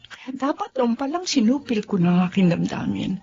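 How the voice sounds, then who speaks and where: A woman's voice: on the high side, sing-song, normal speed, vocal fry, dark tone. A woman playing a mother hiding a secret love affair, defensive and guarded, also narrating in first person in a slightly muffled radio drama. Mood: reflective.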